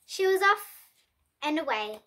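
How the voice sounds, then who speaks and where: A young reader, presented as a child, reading a children's picture book aloud with expressive delivery and lightly voiced characters. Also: female voice, high voice, slow pace. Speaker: storybook reader.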